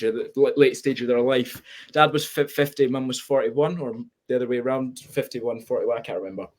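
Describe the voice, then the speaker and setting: A man's voice: medium pitch, a little mellow. A nineteen-year-old man from Spain with a Scottish accent, speaking candidly and reflectively as a guest on a webinar video call. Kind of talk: webinar conversation.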